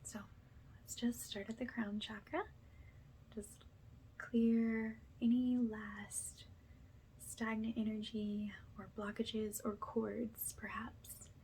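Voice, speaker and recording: A woman speaks softly and very slowly, slipping between hushed talk and whispers. She guides a calming ASMR energy cleanse with gentle reassurance, over audible background noise. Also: on the high side, rough recording. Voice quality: soft, breathy, often dropping to a whisper. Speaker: host of spiritual ASMR healing sessions.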